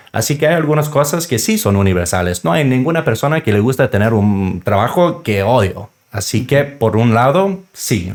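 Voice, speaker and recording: A fairly low-pitched man with a foreign accent co-hosts a casual podcast. He is not a native speaker and sometimes pauses to find his words. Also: a little mellow. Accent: foreign, non-native Spanish. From born in Serbia, grew up in Canada. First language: not a native Spanish speaker. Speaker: podcast co-host. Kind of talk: casual conversational podcast talk.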